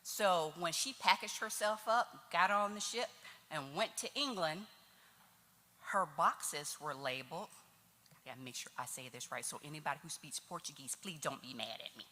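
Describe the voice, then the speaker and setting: A woman's voice: low voice, normal speed, pushed and bright. A woman giving a chatty, nervous talk to an audience at a church tea event. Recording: hall reverb.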